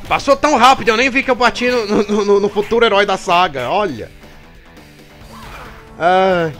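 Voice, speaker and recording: A man's voice: a little high, a bit bright. A Brazilian man with a Brazilian Portuguese accent, who is catching a cold, hosting a comic let's play and clowning through invented dialogue for the characters. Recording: game music behind.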